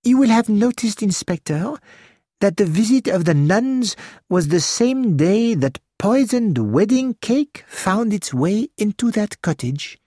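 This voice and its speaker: A woman's voice: mid-range voice, expressive, a little slow, dark tone, no room sound, clean studio sound. A woman reading the part of a fussy elderly male detective with a French-flavoured manner, polite and precise. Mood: assured.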